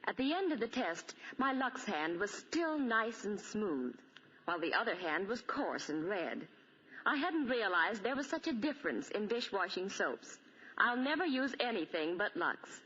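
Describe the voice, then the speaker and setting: Lively husky woman, old radio testimonial. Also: mid-range voice, unhurried. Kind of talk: scripted 1940s radio testimonial. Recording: muffled 1940 radio broadcast.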